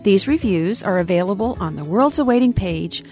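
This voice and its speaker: A woman's voice: medium pitch, lively intonation, moderate pace. A woman announcer one would hear closing a radio segment, faint music playing underneath. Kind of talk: scripted radio outro.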